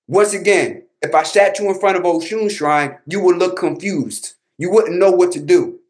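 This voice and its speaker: High-pitched, combative American man. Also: moderate pace, darkish tone. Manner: combative, emphatic, repeats slangy jabs. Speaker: host, babalawo commentator. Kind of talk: reaction commentary, casual rant.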